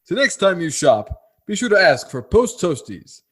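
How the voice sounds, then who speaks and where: A man's voice: high-pitched, very animated, normal speed, fairly bright tone, slightly rough. A man reading a folksy, salesmanlike commercial in the style of a 1950s radio announcer.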